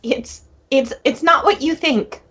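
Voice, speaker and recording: A woman's voice: sits high, a little slow, pushed and bright. A woman voicing a bossy, easily flustered talking bird in a comic fandub, on a dull lo-fi recording. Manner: indignant, flustered. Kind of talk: comic fandub character reading.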